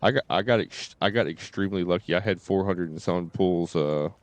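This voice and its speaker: A man streaming a game and chatting casually, halting and often repeating himself. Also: sits low, dry recording. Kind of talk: casual live stream chat.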